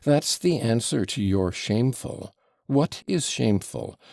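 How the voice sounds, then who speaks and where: A man voicing a blustering old father who yells and splutters theatrically. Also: sits low, lively intonation, moderate pace, no echo, studio quality. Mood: ranting.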